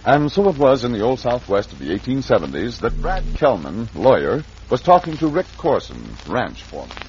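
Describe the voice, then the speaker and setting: A man's voice: mid-range voice, huge pitch swings, moderate pace, a little hiss or hum. A man narrating an old radio western in a dramatic, declamatory announcer style. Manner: theatrical, declamatory. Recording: dull vintage radio recording. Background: loud music.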